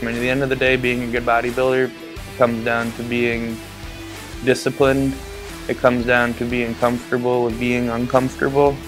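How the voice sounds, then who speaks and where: A man competing in bodybuilding, speaking in a calm, focused way in a documentary interview. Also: medium pitch, moderate pace, poor audio. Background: music.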